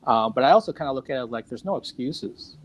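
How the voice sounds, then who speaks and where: A man's voice: medium pitch, animated, quick pace. Man in his fifties, casual chat, rambling, laughs a lot, video call. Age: 50s. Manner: rambling, self-deprecating, laughs often.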